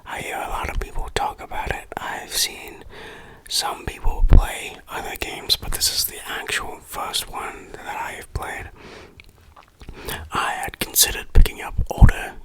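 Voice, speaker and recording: Slow whispering ASMR game reviewer. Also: low-quality recording.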